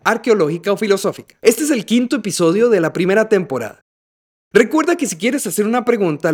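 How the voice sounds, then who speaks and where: A man with a Costa Rican accent, hosting a podcast in a scripted, explanatory style with a didactic, methodical manner. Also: high voice. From Costa Rica. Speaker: podcast host.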